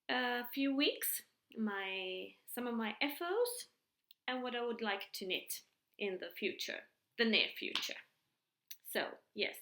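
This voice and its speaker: A woman's voice: on the high side, gravelly, bright, forceful tone, reverberant. A middle-aged woman from Finland with a Finland-Swedish accent, hosting a casual podcast chat in English, hesitant, with many fillers and restarts. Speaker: podcast host. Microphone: computer mic.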